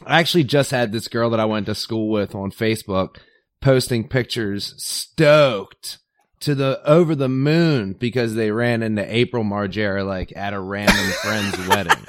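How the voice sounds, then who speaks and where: A man's voice: fairly low pitch, normal speed, dry recording. A man with a Baltimore accent, guesting on a podcast in laid-back, joking chat. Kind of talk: casual chat.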